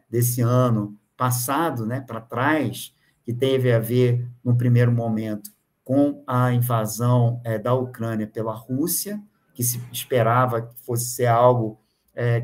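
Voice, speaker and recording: Older man, online lecturer, middle-pitched, hesitant and filler-heavy, webcam audio. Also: darkish tone. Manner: hesitant, many filler sounds and restarts. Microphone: video call webcam.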